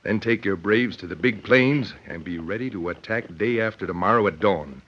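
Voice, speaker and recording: Actor as low-voiced ruthless outlaw boss. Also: male voice, close to an everyday voice. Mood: commanding. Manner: commanding, brisk orders. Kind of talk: old-time western radio drama acting. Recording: muffled, noisy vintage radio recording.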